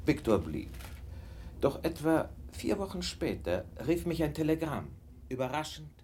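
An actor playing a shrewd, self-assured detective recounting a case in a radio drama, knowing and measured. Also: male voice, a little high, sing-song, background noise. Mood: knowing, composed.

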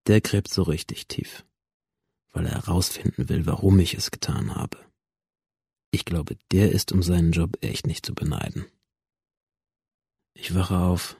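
A deadpan bitter young man, low husky voice, cynical radio play narration, really dry sound. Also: dry recording, heavily acted. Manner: deadpan, sardonic, crude slang. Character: dead young male school shooter, digitally simulated, bitter and cynical. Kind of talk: radio drama inner monologue.

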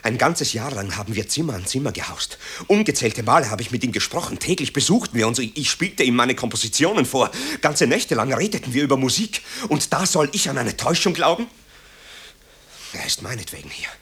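An actor playing a tormented, guilt-ridden composer in a radio play, with a husky voice and brooding, impassioned delivery. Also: male voice, medium pitch, very animated, fairly quick, a bit bright, full character voice. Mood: agitated, haunted. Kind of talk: dramatic radio play acting.

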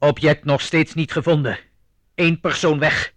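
An actor playing a grumbling secret agent reporting by radio in a radio drama. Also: male voice, on the high side, fairly even intonation, measured pace, fairly bright tone, no room sound. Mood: officious. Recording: noisy two-way radio call.

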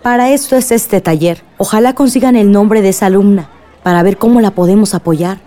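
Woman acting mellow godmother leading a workshop. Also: mid-range voice, brisk, close to an everyday voice. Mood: earnest, encouraging. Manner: organizing, earnest. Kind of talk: radio drama acting.

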